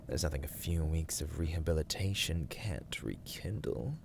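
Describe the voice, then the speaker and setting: A man playing a smug young villain warlord in an ASMR roleplay, teasing and condescending in formal, deliberate phrases. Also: a bass voice, expressive, gravelly, noisy background, exaggerated voice. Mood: smug approval. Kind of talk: ASMR roleplay, scripted dramatic monologue.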